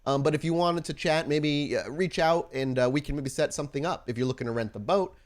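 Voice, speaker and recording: A man's voice: on the high side, brisk, voice pushed up, close to an everyday voice. A man voicing a friendly young vampire woman, casual and politely hedging in a tabletop game. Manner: breezy, politely hedging, colloquial.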